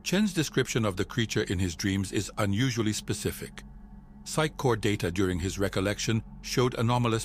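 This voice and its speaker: An actor playing a measured, formal psychiatrist on a space expedition in an audio drama. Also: male voice, fairly low pitch, natural voice. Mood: clinical, grave. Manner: calm, formal, clinical. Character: measured, clinical male psychiatrist on a Mars expedition. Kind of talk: audio drama log and session dialogue.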